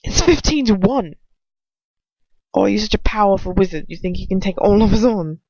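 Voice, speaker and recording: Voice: mid-range voice, animated, moderate pace, warm tone, dry recording, lo-fi sound. A reader putting on a sneering teenage boy wizard. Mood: taunting. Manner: taunting.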